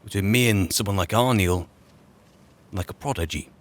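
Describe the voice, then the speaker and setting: A man's voice: low voice, normal speed, lightly acted. A man playing a weary, grumbling wandering mage, musing and muttering drily in an in-character video game roleplay. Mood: dry, irritated. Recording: faint game ambience noise.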